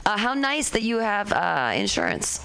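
A woman's voice: mid-range voice, wildly swooping pitch, normal speed, gravelly, a bit bright, a little hiss or hum. A woman hosting a comedy showcase, giving hesitant feedback with many fillers and restarts. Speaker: show host and comedy showcase emcee. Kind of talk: casual feedback chat.

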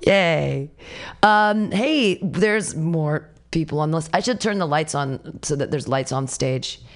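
A woman's voice: sits low, lively intonation, unhurried. A woman casually hosting a live comedy show, rambling playfully off the cuff.

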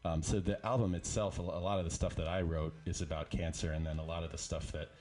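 Dude with a super low voice, jokey rambling band guy on the radio. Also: flat delivery, quick pace, darkish tone. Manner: joking, rambling with restarts. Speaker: musician guest and bandleader. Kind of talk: casual radio chat. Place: radio studio.

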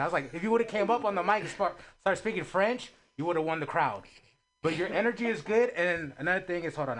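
A man with a high voice gives feedback to a comic. He talks in a chatty, brotherly way and calls him "bro" over and over. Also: fairly bright tone. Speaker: comedy show judge. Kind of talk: giving feedback.

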